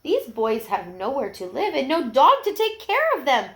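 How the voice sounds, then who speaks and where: A woman's voice: high-pitched, wildly swooping pitch, normal speed, pitched above the performer's own voice, full character voice. A woman reading a children's storybook as a small, hopeful puppy, polite, earnest and a little plaintive. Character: small, hopeful, wistful puppy looking for a boy. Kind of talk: children's storybook read-aloud.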